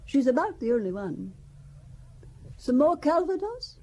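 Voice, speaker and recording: A woman's voice: sits high, sing-song, measured pace, mellow, exaggerated voice. An actress playing a wealthy older widow who talks about her family in a wry, confiding, gossipy way, in a radio drama. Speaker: Valentine Besson.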